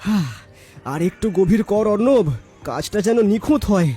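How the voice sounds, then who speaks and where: Crafty young man, rather high and mellow acted. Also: expressive, unhurried, soft background music. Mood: scheming, teasing. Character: crafty, confident young male best friend, bossy schemer. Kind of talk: dramatic cartoon voice acting.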